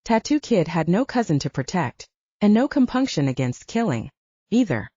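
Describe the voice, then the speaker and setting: A woman narrates an audiobook story in a relaxed, dark-toned voice. Her pitch moves around a lot, and the recording is very dry and a little dull. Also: moderate pace, slightly rough, no echo. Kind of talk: scripted fiction narration.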